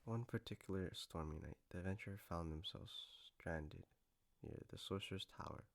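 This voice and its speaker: Guy playing a sweet caring boyfriend, lowish crackly voice, soft n intimate comfort audio, kinda playful. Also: creaky. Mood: sleepy storytelling. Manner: tender, soft, halting, playful. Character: gentle, doting male partner soothing a lover after a nightmare.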